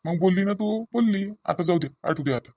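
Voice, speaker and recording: A man's voice: high-pitched, moderate pace, dull audio. A man voicing an easygoing peacemaking father-in-law in a comedy cartoon, with a Varhadi Marathi accent and repetitive, pleading phrasing. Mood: placating. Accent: Varhadi Marathi (Vidarbha).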